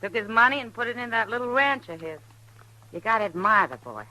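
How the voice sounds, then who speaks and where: Casting: sociable Old West saloon gal, animated and bright, frank, saucy, radio drama delivery. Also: female voice, a little high, a little fast, rough recording. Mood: relaxed, chatty. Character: worldly, sociable frontier saloon woman, plain-spoken. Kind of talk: old-time radio Western acting.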